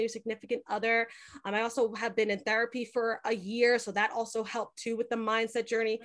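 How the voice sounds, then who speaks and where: A young woman chatting casually in a podcast interview, telling things in a talkative way with lots of "like" and acted-out quotes. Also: on the high side. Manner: chatty, animated storytelling with frequent "like" and quoted dialogue. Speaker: podcast host being interviewed.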